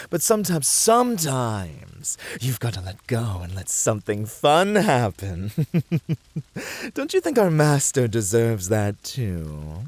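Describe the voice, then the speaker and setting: A man's voice: on the low side, a little mellow, no echo, heavily acted. A man playing a flamboyant, passionate incubus rival in a flirty ASMR roleplay, with a teasing, theatrical delivery.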